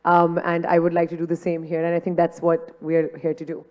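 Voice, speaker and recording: A woman's voice: on the low side, completely flat delivery, fairly quick, highs cut off. A woman speaking earnestly as a panel speaker at a conference, talking freely without notes. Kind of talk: conference speech. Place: conference hall.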